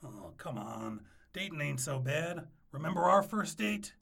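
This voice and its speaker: An actor playing a scruffy, easygoing ex-boyfriend in a comedic audio drama, casual and teasing. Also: male voice, a little high, sing-song, a little slow, creaky, fairly bright tone, breathy edge, heavily acted. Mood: teasing, coaxing.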